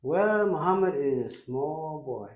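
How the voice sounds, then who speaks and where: A man's voice: fairly high pitch, expressive, slow pace, roomy sound, narrow, tinny audio. A man from Ethiopia who grew up in Kenya, a guest telling his life story in a reflective way. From Ethiopia, raised in Kenya. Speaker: interview guest.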